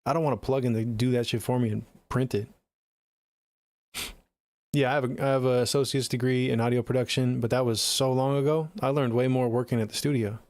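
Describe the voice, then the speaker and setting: A man's voice: mid-range voice, fairly even intonation, fairly quick, darkish tone, no echo. A middle-aged man with an American accent chatting casually on a livestream in a laid-back, slangy way, close to the mic. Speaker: music production livestreamer. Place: small home studio room, indoors.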